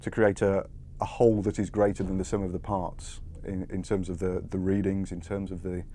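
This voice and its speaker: Dark, flat, low-voiced man. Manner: halting, repetitive. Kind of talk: interview talk. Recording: faint low rumbling noise.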